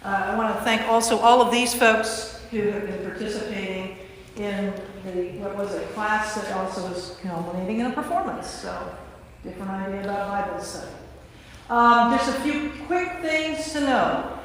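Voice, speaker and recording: A woman's voice: medium pitch, normal speed. A woman priest speaking to a gathering as host and narrator in a church. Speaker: priest and narrator of a staged reading. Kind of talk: informal welcome address, then scripted narration. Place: large indoor church space. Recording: echoey church hall from far off, faint noise.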